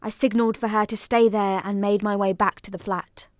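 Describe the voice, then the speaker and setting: A woman narrator giving a scripted first-person dramatic account in a solemn, measured storytelling manner. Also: mid-range voice, level tone, dry recording.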